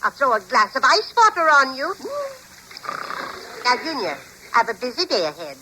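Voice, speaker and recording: A woman's voice: sits high, very animated, normal speed, noisy background. An actress playing a fussing, playfully stern older mother figure in an old radio comedy, in a theatrical way. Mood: brisk, coaxing. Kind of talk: 1940s radio comedy acting.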